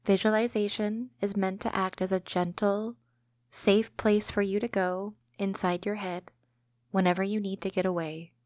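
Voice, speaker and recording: A woman hosts a guided relaxation, reading scripted imagery in a calming, measured manner. Her medium-pitched voice stays quite level, with little rise or fall. The sound is dry, free of room echo. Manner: gentle, calming, measured delivery. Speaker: host and narrator of a guided meditation podcast.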